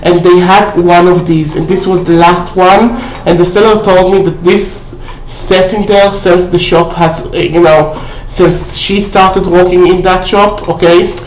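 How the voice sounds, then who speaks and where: A woman's voice: lowish voice, fairly even intonation, a little slow, few pauses, darkish tone, a little hiss or hum. A woman casually showing off a shopping haul on her vlog, chatty and full of fillers, often checking in with "okay?". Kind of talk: casual haul video chat. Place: indoor room at home. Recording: roomy echo.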